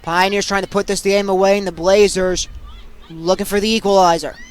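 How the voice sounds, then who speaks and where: Young adult male sportscaster, very high-pitched, excitable, clipped delivery for live game commentary. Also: fairly quick, clean tone. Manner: excitable, punchy delivery in short clipped phrases. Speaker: play-by-play sportscaster. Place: at sports venues, live during games.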